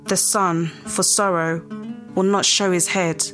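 An actor voices a grave noble in mourning for a Shakespearean audio play. The British voice is very slow, solemn and formal, with faint plucked-string music behind. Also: medium pitch, flat delivery. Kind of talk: Shakespearean audio drama acting. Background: soft plucked-string music.

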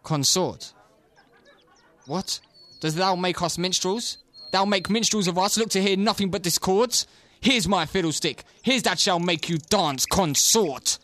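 A young man student playing a mocking, hot-headed gentleman in a Shakespeare audio play, bantering and taunting. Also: sits high, unhurried. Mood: defiant, taunting. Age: young adult.

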